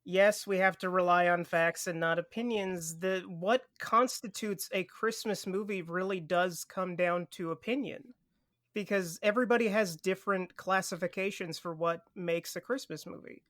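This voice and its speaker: A man talking casually as a podcast film expert, giving blunt opinions in halting, self-correcting phrases. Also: sits high, fairly bright tone, dry recording, clean studio sound.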